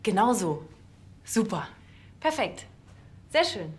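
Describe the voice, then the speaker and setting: Helpful, upbeat policewoman, medium pitch, natural TV comedy delivery. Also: big pitch swings, takes it slowly. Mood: encouraging.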